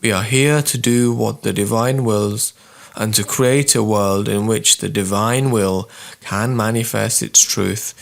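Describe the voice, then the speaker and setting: Low, gravelly male narrator. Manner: measured, formal, deliberate phrasing. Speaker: reader of spiritual writings. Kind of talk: scripted narration, audiobook-style reading of spiritual texts.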